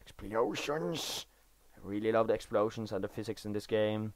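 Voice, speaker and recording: A Norwegian teenage boy, speaking English as a second language, giving casual commentary over his own gameplay footage in a halting, self-correcting way. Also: lowish voice, dry recording. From Norway. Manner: halting, self-correcting, enthusiastic about game details. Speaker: gaming video creator. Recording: dry voice-over recorded after gameplay.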